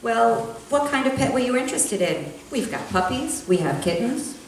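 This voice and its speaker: A woman's voice: a little high, sing-song, moderate pace, slight background noise. A woman playing a shy, prim pet shop clerk in a live old-time radio comedy, politely correcting everyone. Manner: polite, patiently correcting others. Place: library meeting room, live show. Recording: very echoey, distant mic.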